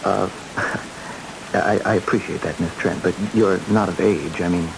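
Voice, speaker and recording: An actor playing an earnest, hesitant junior doctor in a radio drama, plain-spoken and frank. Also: male voice, low voice, steady tone, a little slow, creaky. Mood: earnest, uneasy. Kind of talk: old-time radio drama acting. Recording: hissy old radio broadcast recording.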